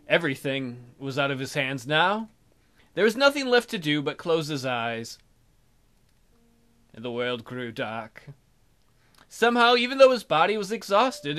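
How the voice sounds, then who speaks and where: Guy doing a lets play, kinda high bright voice, dramatic reading plus casual comments. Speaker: let's play host.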